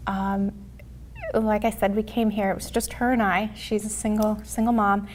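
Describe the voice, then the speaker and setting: A woman's voice: medium pitch, flat delivery, moderate pace, a little mellow, slight background noise. American woman reflecting in an interview. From born in Mexico City, raised in the United States. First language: Spanish. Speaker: interview guest, TV show host. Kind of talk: personal storytelling in an interview.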